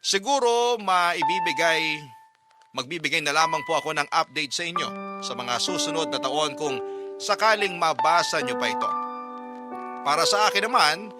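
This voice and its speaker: A man hosting a radio show, reading listeners' letters aloud as sentimental stories in a measured, earnest way. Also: on the high side, lively intonation. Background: music bed under the voice.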